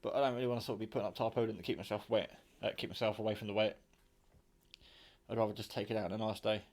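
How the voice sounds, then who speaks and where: Low-voiced British man, casual DIY vlogger. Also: steady tone, racing, darkish tone. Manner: rambling, informal, mildly sweary. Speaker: hobbyist vlogger presenting own radio build. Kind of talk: casual unscripted demonstration talk. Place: home kitchen.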